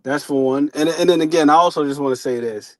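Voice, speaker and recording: A man's voice: fairly high pitch, fast, a little mellow, dry recording. Casual man talking sports, dry close sound. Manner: informal, profane, reflective. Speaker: boxing talk show co-host.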